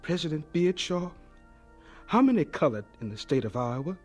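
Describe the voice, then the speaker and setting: Earnest, humble male scientist for radio drama; higher voice, very animated, halting with emotion. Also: faint music behind. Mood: earnest, resolute. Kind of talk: audio drama acting.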